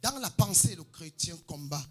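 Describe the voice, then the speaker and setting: A man from Cameroon, a pastor, preaching a sermon in short phrases that leave room for an interpreter, with loud bursts of praise. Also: on the high side, lively intonation, moderate pace, husky. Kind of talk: sermon delivered through an interpreter. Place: church hall.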